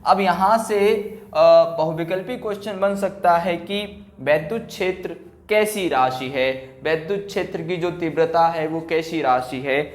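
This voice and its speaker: A man teaching a physics class at a board, explaining and dictating, repeating key phrases and asking check questions. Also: fairly high pitch. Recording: echoey room.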